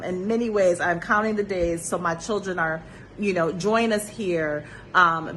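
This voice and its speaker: A woman's voice: on the low side, a bit bright, a little hiss or hum, poor audio. A woman giving an earnest, opinionated talk as a vlogger, emphatic and repeating key phrases for weight. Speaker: vlogger sharing a travel experience.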